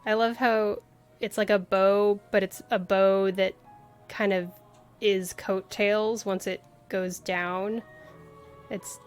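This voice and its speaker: A woman's voice: mid-range voice, little pitch movement, slow pace, clear, resonant tone, soft background music. A woman livestreamer giving casual, off-the-cuff commentary while playing a video game.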